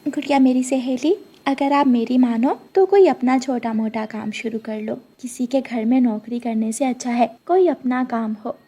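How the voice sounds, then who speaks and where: A woman's voice: on the high side, moderate pace, few pauses, dark tone, slightly rough. A woman voicing a helpful, sensible young girl bird in a children's cartoon. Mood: advising.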